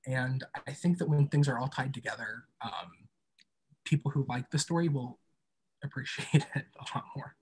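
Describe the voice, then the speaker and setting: A man's voice: mid-range voice, animated, moderate pace, slight background noise. A man speaking as an interview guest in a casual, informal and thoughtful way about his own work. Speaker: podcast creator and guest being interviewed.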